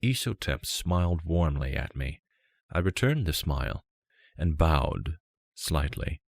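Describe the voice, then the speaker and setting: A man reading a first-person fantasy story as an audiobook narrator, in a measured, unhurried way. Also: a bass voice, lively intonation, gravelly, no echo.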